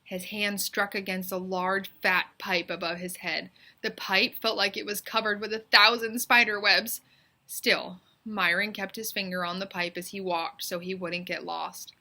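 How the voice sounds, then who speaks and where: A woman reading aloud as a teacher, in expressive, deliberate and suspenseful storybook narration. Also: mid-range voice.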